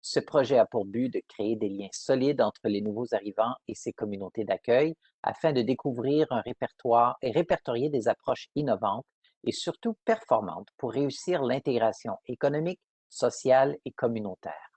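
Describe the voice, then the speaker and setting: Casting: man, husky timbre, dry close sound, measured formal presenter. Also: medium pitch, a little mellow, no room sound. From Ontario, Canada. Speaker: community program coordinator. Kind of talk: prepared webinar presentation.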